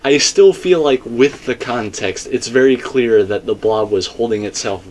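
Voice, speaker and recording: A man's voice: mid-range voice, normal speed, darkish tone, slight background noise. A man in a casual theory discussion, explaining his points emphatically and circling back to them. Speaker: video game theorist.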